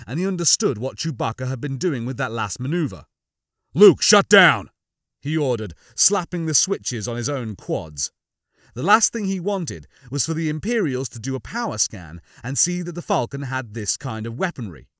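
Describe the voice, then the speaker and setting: A man's voice: mid-range voice, very animated, moderate pace, no echo, studio quality. A man giving a dramatic scripted narration of a science fiction audiobook.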